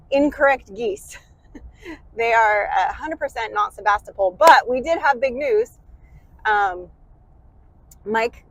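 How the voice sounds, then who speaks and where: A woman's voice: mid-range voice, dry recording, rough recording. An American woman hosting a casual vlog while driving, sick and congested with a light cough, chatty and rambling with self-deprecating humor. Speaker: channel host. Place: in a car.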